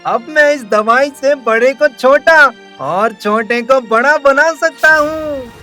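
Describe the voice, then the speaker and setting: An actor plays an excitable little doctor of the jungle who invents potions in a cartoon. The voice is high and eager, exclaiming and reassuring, with music behind it. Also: takes it slowly, full character voice. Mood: triumphant. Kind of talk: animated children's story dialogue. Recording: music under the voice.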